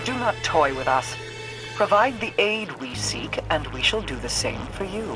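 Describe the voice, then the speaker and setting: A woman's voice: lowish voice, very animated, moderate pace, poor audio. An actress playing an imperious, secretive alien queen in a video game, speaking in formal, clipped, aloof phrases. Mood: stern, bargaining. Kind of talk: scripted video game dialogue. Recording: music behind the voice.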